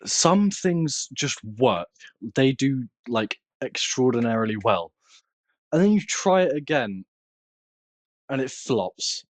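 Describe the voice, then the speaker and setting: A man's voice: medium pitch, very animated, no echo. Plain-spoken British man, dry close sound. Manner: plain-spoken, halting, self-deprecating. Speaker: interview guest, content creator. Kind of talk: casual chat.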